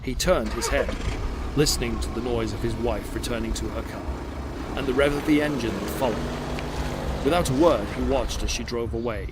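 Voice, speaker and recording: A man giving dramatic scripted narration for an audio drama, measured and suspenseful. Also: medium pitch, very noisy.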